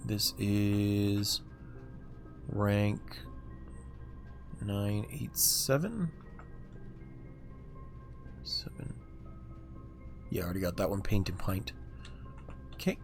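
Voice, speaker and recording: A man streams a game and talks it through in a relaxed, muttering way. His voice sits low and gravelly, with a fry on the ends of phrases. Soft game music runs behind him. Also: very slow, long pauses. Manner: low-key, muttering to himself. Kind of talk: casual gameplay commentary.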